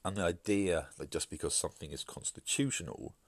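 Very deep-voiced stuffy podcaster. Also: male voice, no room sound. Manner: measured, hesitant with fillers and restarts. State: congested from hay fever. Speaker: podcast host. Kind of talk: solo podcast commentary.

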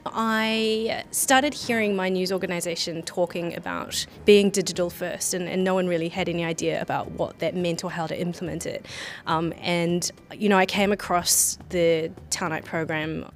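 A woman's voice: lowish voice, steady tone, moderate pace, a little hiss or hum. A woman journalist speaking in a candid, conversational way.

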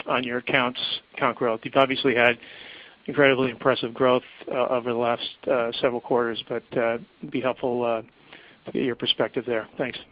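A man's voice: mid-range voice, level tone, a little fast, highs cut off. A man, a financial analyst, asking winding, hesitant questions on an earnings call.

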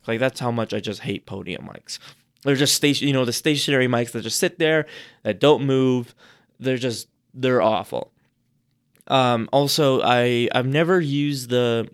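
A man giving casual advice on public speaking on a podcast, chatty and rambling, restarting his sentences often, his voice a little hoarse. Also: mid-range voice. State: slightly hoarse. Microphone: podcast microphone.

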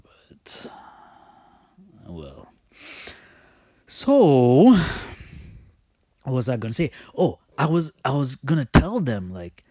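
A man telling personal stories in a casual, confessional way, halting and thinking aloud as he often loses his thread. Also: fairly low pitch, darkish tone, dry recording.

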